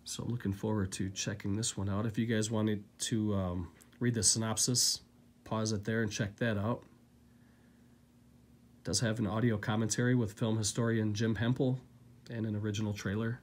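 Low-voiced man chatting over an unboxing. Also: moderate pace. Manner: relaxed, rambling, filler-heavy. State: getting over a cold, coughing. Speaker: collector vlogger. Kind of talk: casual unboxing chat.

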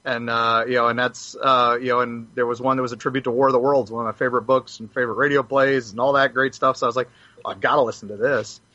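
A man's voice: mid-range voice, steady tone, fast, bright, forceful tone, no room sound. A middle-aged man chatting casually as a podcast panelist on lo-fi audio. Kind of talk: casual chat. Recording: dull, lo-fi audio.

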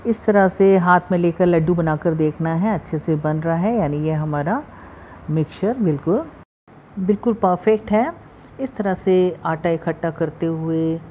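A woman hosting a cooking channel, calmly talking through the steps of a recipe in her home kitchen, recorded on a phone. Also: fairly low pitch, measured pace, highs cut off, slight background noise. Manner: calm, instructive, repeats steps. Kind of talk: step-by-step recipe voice-over.

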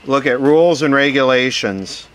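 A man giving casual, hands-on unboxing commentary, musing aloud in short phrases with pauses between them. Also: medium pitch. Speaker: vlogger unboxing vintage radio gear. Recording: steady background noise.

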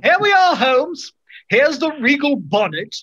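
A woman's voice: high-pitched, sing-song, unhurried, a bit bright, muffled recording, exaggerated voice. A woman playing a boastful, eager young aristocratic Englishman in old-time radio drama style. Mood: jaunty. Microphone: video call.

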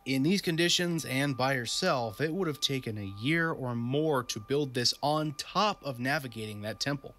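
A man's voice: mid-range voice, normal speed, hardly any pauses, fairly bright tone, a little hiss or hum, lightly acted. A man playing a practical, cautious adventuring companion with a dry manner in an audio drama. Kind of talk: animated audio drama acting.

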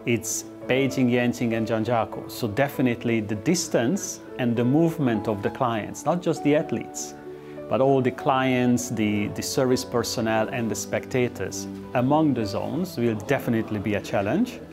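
A man's voice: medium pitch, normal speed, music in the background. Middle-aged man, earnest. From Hungary. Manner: earnest, upbeat, with small restarts. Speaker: interviewee, Olympic organizing expert. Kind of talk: relaxed interview talk. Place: indoor office. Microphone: lapel mic.

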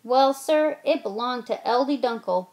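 A mischievous old aunt crows her tale in a folksy drawl, bright and high, voiced by a woman reading a storybook aloud. She savors every boast. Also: moderate pace, close to an everyday voice. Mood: crowing.

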